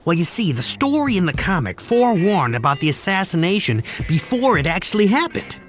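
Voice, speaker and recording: A man playing an excitable, chatty fixer and comic-book buff who rambles through explanations in an animated game cutscene. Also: high-pitched, a little fast. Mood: eager, gossipy.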